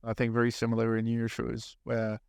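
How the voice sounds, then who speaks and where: A man in his thirties with a New Zealand accent speaks at a middle pitch with a gravelly edge, earnest and reflective as he asks probing questions. The recording is close and dry, right at the mic. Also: completely flat delivery, brisk, a little mellow, no echo. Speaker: podcast host. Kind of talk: casual interview chat.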